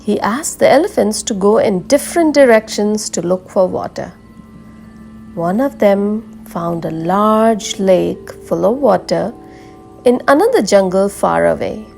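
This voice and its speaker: Woman teacher reading a kids story, really animated, slowish and careful, soft music behind. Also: medium pitch, gravelly. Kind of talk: expressive read-aloud story for children.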